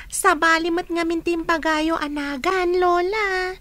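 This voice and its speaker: Old grandmother for radio drama, high, slow and bright, lively lilting delivery. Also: exaggerated voice. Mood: bewildered. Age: older. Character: old grandmother, forgetful and gentle.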